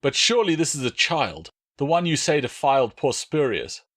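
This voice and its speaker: A man's voice: a little high, very animated, normal speed, a bit bright, voice pushed up. A man voicing a big, cruel, vengeful Roman soldier, hot-tempered, accusing and sneering in an audiobook. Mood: sneering accusation.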